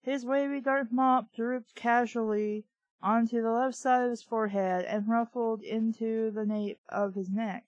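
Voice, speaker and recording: A woman's voice: fairly high pitch, fairly even intonation, measured pace, dry recording, lo-fi sound. A woman reading fiction aloud and breaking in with sarcastic, irreverent, exasperated commentary. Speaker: book review host.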